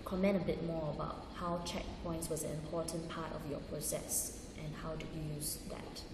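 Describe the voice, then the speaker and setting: A woman's voice: low voice, level tone, moderate pace. A woman interviewing an actor, asking long, carefully built questions. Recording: distant, roomy, noisy hall.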